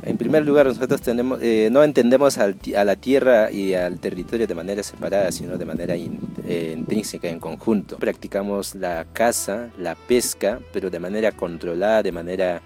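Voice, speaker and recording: A man gives spoken testimony. He explains things haltingly, searching for words, with music faintly behind him. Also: on the low side, warm tone. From Amazon region of northern Peru.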